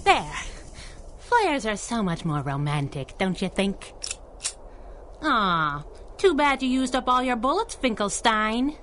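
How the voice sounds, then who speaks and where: Cocky crime-fighting dame, fairly high, animated, teasing period radio banter. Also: female voice, takes it slowly, slight background noise, exaggerated voice. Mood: mocking, playful. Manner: teasing, wisecracking, 1930s slang. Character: sassy, cocky, taunting masked superheroine. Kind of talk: 1930s-style radio drama acting.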